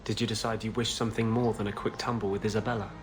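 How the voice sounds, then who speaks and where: A man voicing a brooding, devoted fugitive mage in love in a fantasy video game, speaking earnestly and haltingly. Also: lowish voice, level tone, lightly acted. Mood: tender, grave. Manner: earnest, halting, intimate delivery.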